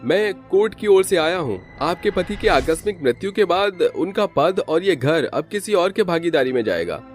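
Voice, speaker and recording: A man's voice: high-pitched, big pitch swings, normal speed, faint music behind, voice pushed up. A man voicing a stern, unfeeling male court official in a story, blunt and officious. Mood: cold, officious.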